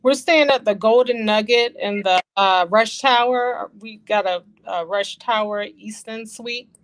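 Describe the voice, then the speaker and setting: A woman in her forties, a guest on a casual live-stream chat, lively and teasing. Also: mid-range voice, a little slow, no room sound, low-quality recording. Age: 40s. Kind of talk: casual chat on a video call.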